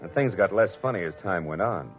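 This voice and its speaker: Tough private detective, dry, wisecracking, deep voice, lo-fi vintage radio sound. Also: male voice, lively intonation, a little fast. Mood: narrating. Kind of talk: 1940s radio acting. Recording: dull lo-fi old radio recording with faint music.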